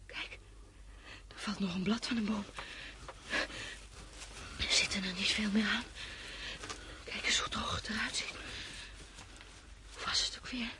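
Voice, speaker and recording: A woman's voice: mid-range voice, steady tone, a little slow, pauses often, whispered, lightly acted. An actress in a radio drama playing a weary woman fleeing on foot, plaintive and reproachful, sometimes drifting into dreamy murmurs. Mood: dreamy, wistful. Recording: noisy radio play recording.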